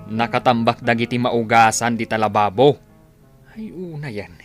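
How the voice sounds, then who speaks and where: A man's voice: mid-range voice, moderate pace, soft background music, lightly acted. A man playing an easygoing, generous village husband who lends money, plain-spoken in an Ilocano radio comedy drama. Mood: encouraging.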